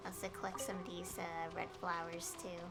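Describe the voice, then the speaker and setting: A woman's voice: on the low side, flat delivery, normal speed. A woman streaming a game, giving casual, informal commentary as she thinks aloud. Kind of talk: casual livestream gameplay commentary. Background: game music.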